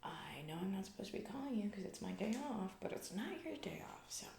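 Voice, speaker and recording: A woman playing a self-absorbed, distracted female CEO in a comedic ASMR roleplay, rambling flippantly in a breathy whisper with casual asides. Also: medium pitch, sing-song, fairly quick, darkish tone, echoey room, slight background noise. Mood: bored, distracted.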